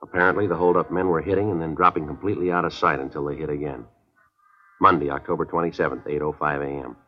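A terse American police detective from a 1950s radio drama, very low in pitch and quick in pace. He narrates in a clipped deadpan. The old recording is muffled. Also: slight background noise. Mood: flat, businesslike. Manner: deadpan, clipped, matter-of-fact. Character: terse, businesslike male police detective narrating his case.

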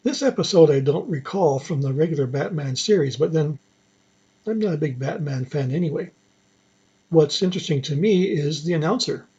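A man's voice: on the high side, expressive, normal speed, warm tone, slightly rough, muffled recording. A man presenting old radio programs in an informal, musing, conversational way.

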